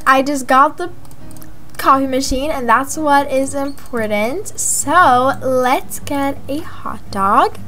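A young woman voicing a chatty, excitable young mother in a gaming roleplay vlog, gushing and drawing out words for emphasis. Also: high voice. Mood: eager. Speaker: video creator voicing her game character. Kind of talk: voiced life-simulation roleplay vlog narration. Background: background music.

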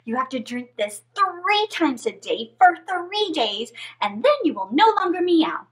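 A woman acts out a practical witch from a children's storybook. She is helpful and reassuring, giving instructions in a high, lilting voice. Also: very animated, unhurried, a bit bright, full character voice.

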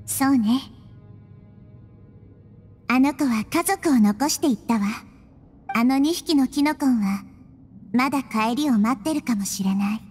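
A woman voicing a young-looking, wise goddess who speaks softly and tenderly, as a game character. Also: sits high, measured pace, pauses often, husky. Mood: gentle. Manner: soft, tender, reassuring. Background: game music.

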